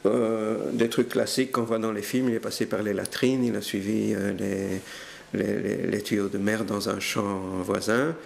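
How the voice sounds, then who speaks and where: An older man recounting his family's history in a hesitant, halting way, with many fillers and restarts. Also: fairly low pitch, flat delivery, creaky, slight background noise. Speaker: interviewee telling family history. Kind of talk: hesitant spoken recollection.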